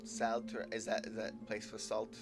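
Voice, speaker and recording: A man's voice: fairly low pitch, monotone, moderate pace, music in the background. A man casually commentating on a live game stream, repeating words and thinking aloud as he goes.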